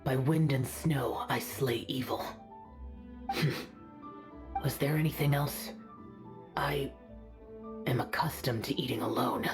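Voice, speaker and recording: A man's voice: medium pitch, takes it slowly, long pauses, over music, lightly acted. An actor playing an aloof, brooding young male demon-slayer in a video game, terse and solemn. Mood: wistful, aloof.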